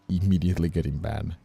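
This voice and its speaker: Casting: male streamer, very low and gravelly, lively intonation in casual chat, faint music behind. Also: no room sound. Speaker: streamer and audio creator.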